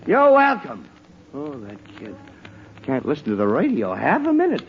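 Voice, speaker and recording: A muffled, hissy old radio recording of a flustered middle-aged father. His high-pitched voice swings wildly as he sputters and exclaims in long-suffering exasperation, in broad radio sitcom style. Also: wildly swooping pitch, normal speed. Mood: weary, flustered. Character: exasperated, flustered suburban father trying to hear a ballgame.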